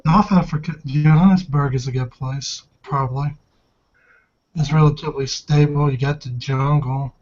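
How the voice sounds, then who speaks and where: A man's voice: mid-range voice, fairly even intonation, moderate pace, a little mellow, lo-fi sound, close to an everyday voice. A man roleplaying an agreeable, laid-back bar companion in a tabletop game, chatting casually. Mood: easygoing.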